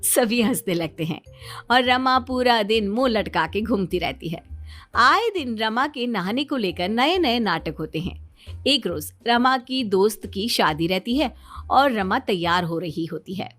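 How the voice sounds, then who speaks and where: A woman's voice: fairly high pitch, expressive, measured pace, fairly bright tone, soft background music. A woman narrating a scripted story as the storyteller.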